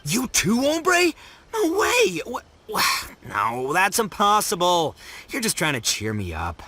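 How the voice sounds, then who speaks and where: A man's voice: fairly high pitch, huge pitch swings, a little slow, sharp tone, exaggerated voice. A man voicing a brash, dim but big-hearted young gang boss in a video game, bombastic and slangy. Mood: disbelieving. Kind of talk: animated video game voice acting.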